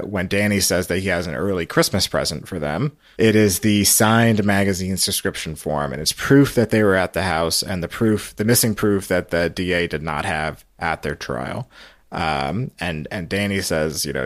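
A man giving a casual, halting, meandering storytelling recap on a podcast. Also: deep voice, no echo, studio quality. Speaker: podcast guest recapping a radio show.

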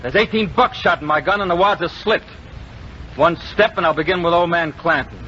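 A man's voice: a little high, brisk, bright, forceful tone. An American man acting a bold, unflinching Old West lawman in an old-time radio western, firm and taunting. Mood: defiant. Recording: muffled, hissy vintage radio recording.

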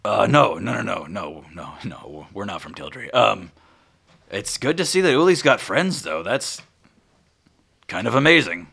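A man's voice: lowish voice, very animated, a little slow, creaky, fairly bright tone, breathy edge, heavily acted. A man voicing an earnest, folksy farmer who is a player's brother, talking eagerly with some stammering. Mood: friendly. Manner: eager, stammering, good-natured.

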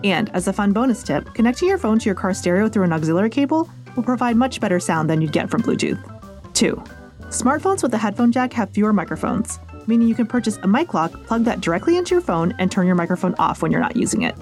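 A woman's voice: mid-range voice, very animated, quick pace, darkish tone, faint music behind. An American woman hosting a podcast, reading a script with chatty, playful asides addressed straight to the listener. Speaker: podcast host and reporter.

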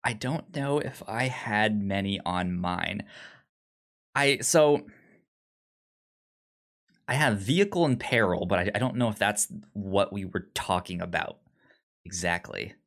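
A man's voice: on the low side, a little slow, long pauses, fairly bright tone. A man co-hosting a podcast in casual, unscripted chat, hesitant and often stammering through repeated false starts. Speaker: podcast co-host. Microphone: remote online call.

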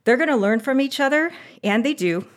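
A woman's voice: high-pitched, lively intonation, a little fast. Chatty, enthusiastic woman producer. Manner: chatty and enthusiastic, laughs often. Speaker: audio drama producer and guest. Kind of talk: casual interview chat.

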